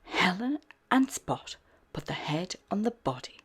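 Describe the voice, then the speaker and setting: A woman reading a children's picture book aloud in a gentle, soft narration. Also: fairly low pitch, sing-song, unhurried, husky, soft background music. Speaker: storyteller.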